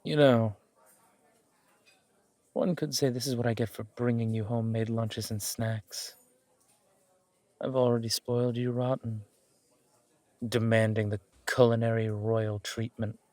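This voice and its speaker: A man's voice: medium pitch, little pitch movement, measured pace, pauses often, darkish tone, dry recording, natural voice. A man acting a playful, affectionate mafia boss on a date in a romantic audio drama, with a casual, teasing manner. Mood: playful, indulgent. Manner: teasing, casual, mock-indignant, swearing.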